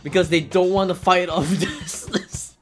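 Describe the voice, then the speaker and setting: A man giving casual live commentary as he plays a video game, excitable and easily amused. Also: high-pitched, unhurried. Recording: game music playing behind voice. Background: video game music and sounds.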